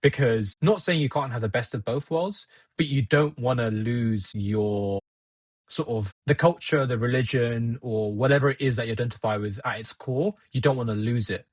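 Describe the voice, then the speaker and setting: Young man, husky, reflective and wry. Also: medium pitch, moderate pace, no room sound. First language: Gujarati. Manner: reflective, laughs at himself, talks it through. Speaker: podcast guest. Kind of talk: casual conversation.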